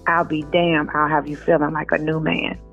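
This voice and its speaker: A woman's voice: sits low, flat delivery, normal speed, fairly bright tone. A woman author speaking informally as a guest, recorded in dull lo-fi audio with music behind her. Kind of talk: reading aloud and informal interview talk.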